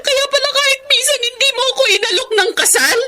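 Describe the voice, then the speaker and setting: Anguished mom in a radio soap, super high sharp voice, tearful and heartbroken. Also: female voice, quick pace. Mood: furious, hurt. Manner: emotional, tearful delivery. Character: betrayed, anguished mother leaving her deceitful lover. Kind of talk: Tagalog radio drama acting.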